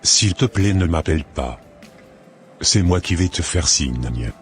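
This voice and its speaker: Someone putting on a very deep slow secretive lover guy, sounds far off, music behind. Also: expressive. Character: smooth secretive male lover.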